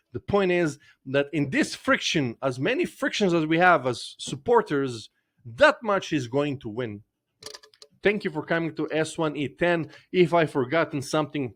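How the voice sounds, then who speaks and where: A man delivering a long ranting monologue as a fan vlogger, profane and repetitive, pressing his points again and again. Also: on the high side.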